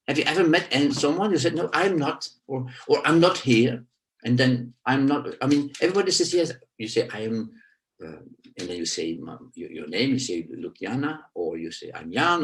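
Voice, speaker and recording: A man in his sixties from Belgium, speaking English as an in reflective spoken teaching. He searches for words and his voice jumps up on key points. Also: poor audio. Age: 60s. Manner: animated, pitch leaps on emphasis, searching for words. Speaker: guest, nonduality teacher.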